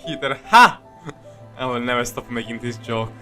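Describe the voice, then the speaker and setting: Male streamer, mid-pitched, offhand and sarcastic play commentary, repetitive and sweary, music underneath. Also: moderate pace, bright, forceful tone. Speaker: game streamer. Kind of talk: casual live playthrough commentary. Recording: game music behind the voice.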